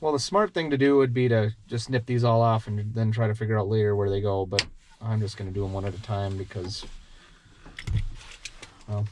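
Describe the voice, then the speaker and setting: A man's voice: lowish voice, fairly even intonation, fairly quick, a little hiss or hum. A middle-aged man vlogging while he does wiring repairs in his workshop, chatting loosely and muttering at the parts as he works. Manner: rambling, self-talking while working, mutters at parts. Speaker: vlogger doing truck and shop repairs. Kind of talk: casual chat while working.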